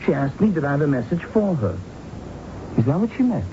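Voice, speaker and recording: Muffled vintage radio play, polite earnest visitor, middle pitch, very expressive, sensitive. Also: male voice, brisk, slight background noise. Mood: puzzled. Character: courteous, earnest male visitor, sensitive and unsettled. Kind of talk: 1970s radio drama acting and narration. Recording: muffled old radio broadcast, music behind.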